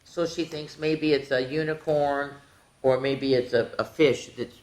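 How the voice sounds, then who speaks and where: A woman's voice: extremely low pitch, steady tone, measured pace, darkish tone, echoey room. An older woman reading to children with chatty, folksy asides, speaking playfully and directly to her young listeners. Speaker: storytime host reading picture books to children.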